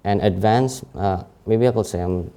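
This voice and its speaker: A teenage student whose first language is Indonesian presents his own CV in English with an Indonesian accent. His voice sits a little low and has a warm, soft tone. He speaks haltingly, often breaking off and correcting himself. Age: late teens. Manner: halting, frequent self-corrections. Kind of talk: informal presentation.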